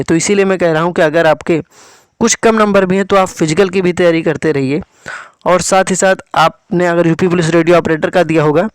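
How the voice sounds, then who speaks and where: Higher-pitched man explaining exam updates. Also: clear, resonant tone, no room sound. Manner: instructive, repeats key figures, addresses students directly. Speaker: exam coaching presenter.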